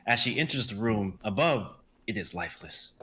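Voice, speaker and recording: Young guy reading stage directions, low and bright voice. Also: animated, normal speed. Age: young adult. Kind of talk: dramatic script reading.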